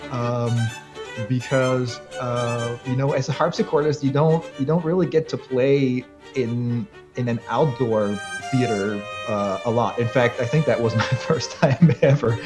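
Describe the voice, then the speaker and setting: A man in his late thirties from Austria, a harpsichordist answering interview questions in a thoughtful way, with many fillers and restarts and frequent light laughter. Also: medium pitch. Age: late 30s. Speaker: guest harpsichordist. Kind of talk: interview conversation.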